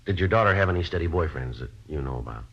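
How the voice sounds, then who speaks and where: A very deep-voiced police detective talks briskly and without emotion. He is polite but all business, with clipped, factual delivery in the manner of a 1950s radio crime drama, on a muffled old recording. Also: breathy edge, full character voice. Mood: probing, polite. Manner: clipped, deadpan, matter-of-fact. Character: businesslike, polite male police detective narrating his case.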